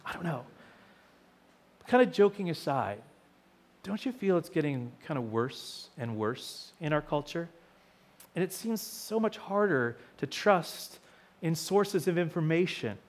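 A man with a sore, hoarse throat preaching from a lectern to a small congregation, his voice sitting a little high for a man. He slips into animated quoted voices while telling a story, then settles into plainer teaching. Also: a little slow. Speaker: pastor. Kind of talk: sermon. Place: small church hall with seated congregation. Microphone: lectern mic, far camera.

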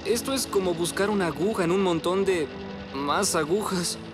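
A man's voice: high voice, moderate pace, music in the background, natural voice. A man playing a practical, skeptical rescue team member in a cartoon dub, plain-spoken and businesslike. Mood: weary.

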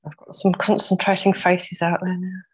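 A woman with a croaky voice teaching a weaving workshop over a video call, patiently explaining each step and laughing off her own mistakes. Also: fairly low pitch, level tone, moderate pace, poor audio. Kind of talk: step-by-step craft demonstration. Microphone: laptop mic over video call.

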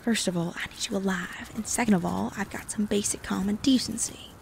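A woman's voice: medium pitch, brisk, creaky, slight background noise, lightly acted. A woman playing a proud, stubborn and terse sheriff in a western audio drama. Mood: irritated. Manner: terse, irritable. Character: proud, stubborn, gruff Wild West sheriff.

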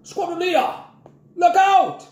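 A gallant old British officer for a storybook, brisk and commanding. The voice rides high and swings widely in pitch, with clipped military phrasing and some room echo. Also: male voice, sing-song, warm tone. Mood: urgent shouting.

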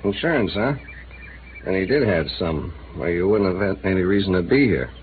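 An actor playing a drunk, sardonic newspaper reporter in an old radio drama. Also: male voice, low-pitched, normal speed, narrow, tinny audio, slight background noise, natural voice. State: tipsy.

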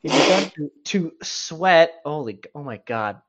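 A man's voice: fairly high pitch, lively intonation, moderate pace, background noise. A man co-hosting casual, joking banter, mocking and swearing freely. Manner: profane, mocking. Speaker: co-host reading and mocking internet horror fiction.

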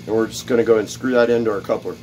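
A man's voice: on the low side, steady tone, very fast, a little mellow, slight background noise, rough recording. A man in a cluttered home workshop, explaining a build step by step in a casual, rambling way, with plenty of fillers and repeated words. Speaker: DIY video host. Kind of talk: casual workshop how-to demonstration.

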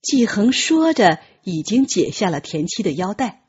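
A woman reads a novel as a dramatic audiobook. Her voice is very husky and breathy, at a middle pitch with animated movement. The recording is slightly dull. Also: normal speed. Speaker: audiobook narrator. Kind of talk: dramatic scripted storytelling.

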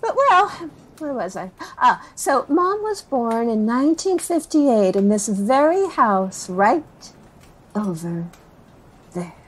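Chatty, folksy middle-aged woman, high and lilting. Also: sing-song, husky, heavily acted. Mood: wistful, chatty. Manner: rambling, self-correcting, with pauses and sighs. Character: chatty, nervous, folksy woman in her forties recalling family history. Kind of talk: interviewee in a found-footage audio drama.

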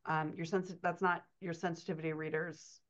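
A woman's voice: low-pitched, flat delivery, a little fast, fairly bright tone. A woman in her thirties, a writing coach and editor, chatting on a podcast over a video call, laughing often and telling stories with animation. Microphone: computer mic on video call. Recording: slightly dull lo-fi call audio.

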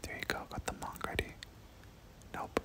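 A person whispering casual, slangy ASMR gameplay commentary, muttering reactions and repeating short phrases. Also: moderate pace. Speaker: gaming video creator.

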